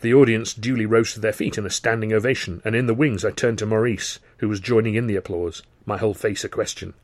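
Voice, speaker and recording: A man's voice: lowish voice, steady tone, fast talker, dry recording. A man narrating an audiobook of his own novel in the first person, with a droll storytelling manner.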